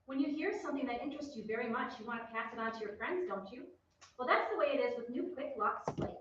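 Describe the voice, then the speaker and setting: A woman's voice: fairly high pitch, fast talker, a bit bright, natural voice. A woman playing an enthusiastic old-time radio advertisement announcer with gushing sales patter. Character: chatty, enthusiastic 1940s radio soap-flake saleswoman. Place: theatre stage, heard from off. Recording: distant echoey stage, dull with noise.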